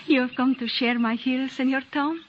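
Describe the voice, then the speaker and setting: A woman's voice: sits high, fairly even intonation, lightly acted. An actress playing a mysterious young village woman of twenty, speaking formally and solemnly in an old-time radio drama. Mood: gentle. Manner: formal, solemn, deliberate phrasing. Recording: dull, faintly noisy old radio recording.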